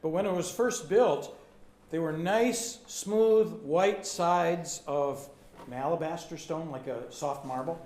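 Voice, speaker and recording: Older pastor in a roomy hall. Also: male voice, a little high, darkish tone. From United States. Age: 60s. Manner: friendly, plain-spoken, pausing for translation. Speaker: pastor and Bible teacher. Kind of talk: teaching through an interpreter in short phrases. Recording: roomy hall with faint ambience.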